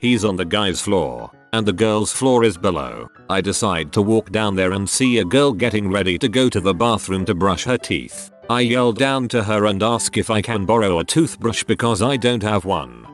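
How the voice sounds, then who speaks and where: A man's voice: lowish voice, expressive, normal speed, few pauses, faint music behind. A man narrating first-person anecdotes aloud in a scripted reading, spelling out abbreviations letter by letter. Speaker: narrator reading online posts.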